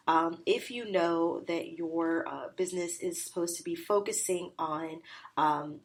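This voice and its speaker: A woman hosting a solo business podcast, nasal and congested from a cold, coaching listeners with an emphatic, repetitive delivery. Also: on the low side.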